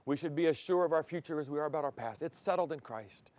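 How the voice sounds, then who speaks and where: A man's voice: on the high side, quick pace, no room sound. Older male pastor preaching in a dry studio. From Thailand. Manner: animated preaching, swings from loud emphasis to soft intimacy, gestures freely. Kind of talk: televised sermon. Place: TV studio set. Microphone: lapel.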